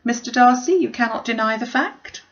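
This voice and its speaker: A woman reading a storybook as a witty young gentlewoman, playful and teasing, with an arch, mock-serious manner. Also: fairly high pitch, expressive, moderate pace, muffled recording, slight background noise, voice pushed up.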